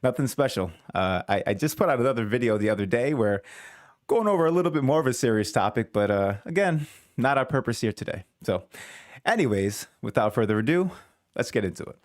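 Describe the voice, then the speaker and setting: A man's voice: mid-range voice, lively intonation, a little fast, dry recording, studio quality. Rambling male reaction commentator. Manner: rambling, self-correcting, chuckling asides. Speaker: reaction video host. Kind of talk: casual commentary.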